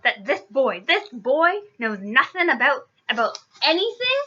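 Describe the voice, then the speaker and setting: Girl doing a big gruff irish giant from a storybook, loud n growly, temper but kind, dull lo-fi mic. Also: animated, fairly quick. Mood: outraged. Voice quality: booming, growling. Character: huge gruff, hot-tempered, kindly male giant gamekeeper.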